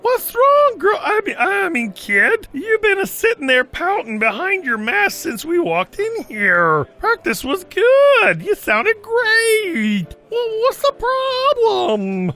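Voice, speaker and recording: A woman's voice: very high-pitched, huge pitch swings, slow, few pauses, sharp tone, soft background music, exaggerated voice. A woman voicing a brash, streetwise but caring cartoon buddy with a slangy, chiding manner. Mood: chiding, encouraging.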